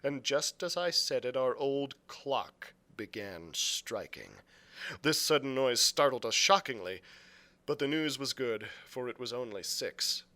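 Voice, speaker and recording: A man narrating a storybook aloud as a librarian would, with deliberate, dramatic phrasing. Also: medium pitch, lively intonation, bright, forceful tone. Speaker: youth services librarian reading aloud.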